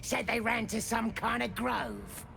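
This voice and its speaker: An actor voicing a crude, menacing goblin torturer in a video game, rough and taunting in manner. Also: medium pitch, lively intonation, a little slow, a bit bright, a little hiss or hum, full character voice. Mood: scornful.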